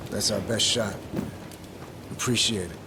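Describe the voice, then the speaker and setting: An actor playing a steady, pragmatic leader in a video game, earnest and firm, in clipped phrases. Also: male voice, lowish voice, unhurried, noisy background, close to an everyday voice. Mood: steady, planning. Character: steady, pragmatic male leader briefing his allies. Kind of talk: dramatic video game cutscene acting.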